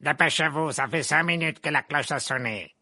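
A stern old witch of a schoolteacher, performed by a man for an audiobook. The voice is piercingly forceful and tense, close and dry. Orders come out curt and brusque. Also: mid-range voice, fairly even intonation, brisk, dry recording. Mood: curt, impatient. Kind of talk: audiobook character voice.

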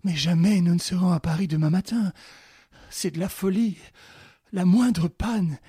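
A man's voice: high voice, steady tone, normal speed, warm tone, husky, dry recording, studio quality, voice pushed up, exaggerated voice. A man voicing an anxious young woman who speaks fretfully and urgently.

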